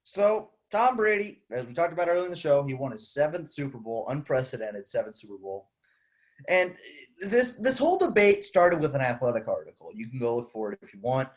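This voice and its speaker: A young man co-hosting a casual sports podcast, animated as he explains his points at length. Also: medium pitch, a little fast. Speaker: podcast co-host.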